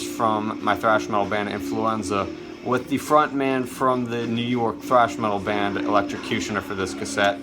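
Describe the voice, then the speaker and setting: A man's voice: lowish voice, low-quality recording. A young man showing off his cassette collection in a casual, enthusiastic vlog, with plenty of fillers. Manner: enthusiastic, chatty, with many uh fillers. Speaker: cassette collector and video host. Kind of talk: casual show-and-tell vlog.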